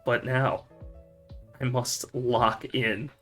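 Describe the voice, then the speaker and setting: A man's voice: medium pitch, level tone, very slow, pushed and bright, soft background music. A man hosting a casual stream, rambling and laughing while he works on a 3D model, with casual swearing. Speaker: streamer and podcast host.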